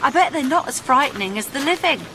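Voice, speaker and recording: Radio drama: mischievous, teasing young female, high and very animated, breezy and sardonic. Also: fast. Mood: wry, bitter. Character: brash, teasing, mischievous young woman actor. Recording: noisy atmospheric sound behind.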